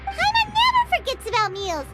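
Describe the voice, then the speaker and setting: A woman's voice: extremely high pitch, wildly swooping pitch, moderate pace, loud music behind the voice, heavily acted. A woman voicing a tiny, chirpy female fairy companion in a game who talks about herself in the third person. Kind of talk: game voice acting.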